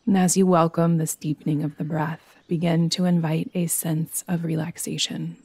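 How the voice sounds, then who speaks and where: A woman teaching yoga nidra, guiding a meditation in a soothing, gentle way with long, unhurried pauses. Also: low-pitched, little pitch movement, slightly rough, no echo. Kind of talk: guided meditation.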